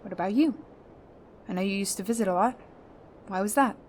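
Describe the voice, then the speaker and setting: Actress as stern, wounded female captain, guarded, mid-range voice, faint rumble underneath. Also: fairly quick, a little mellow, natural voice. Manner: guarded, terse, suspicious. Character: wary, injured, stern woman spaceship captain. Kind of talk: audio drama acting.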